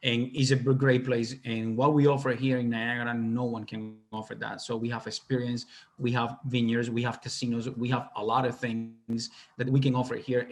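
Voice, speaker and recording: A man with a Cuban Spanish accent, a hospitality professor giving an enthusiastic welcoming speech in slightly broken English. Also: mid-range voice. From Cuba. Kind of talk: welcoming speech at online info session.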